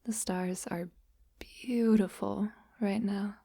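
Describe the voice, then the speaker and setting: A shy young woman, played by a voice actress, speaks slowly and gently, with a soft, breathy tone that often slips toward a whisper. The sound is mellow, close and dry, like an intimate audio roleplay. She is affectionate and a little halting, as a best friend turning sweetheart. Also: mid-range voice, fairly even intonation, no room sound, exaggerated voice. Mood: tender, relaxed. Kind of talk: intimate roleplay ASMR, soft-spoken acting.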